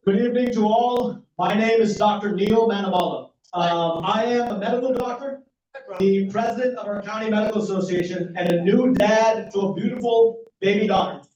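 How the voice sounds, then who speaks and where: A man, a physician running for office, giving an energetic campaign speech and polling the room with rhetorical questions. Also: sits high, normal speed, a little hiss or hum. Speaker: political candidate, physician. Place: community meeting room. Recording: echoey, distant and dull room recording.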